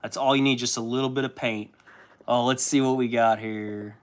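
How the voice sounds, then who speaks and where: A young man hosting a casual livestream while painting miniatures, chatty and at times loud and impassioned. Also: medium pitch, fairly even intonation, moderate pace.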